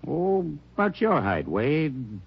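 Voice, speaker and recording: A husky American hotel manager in a vintage radio crime drama. He is defensive and folksy, with lively swings of pitch. The recording is dull and aged. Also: takes it slowly, full character voice. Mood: uneasy, defensive. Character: defensive, chatty male small-hotel manager. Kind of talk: mid-century radio drama acting. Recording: muffled old radio recording.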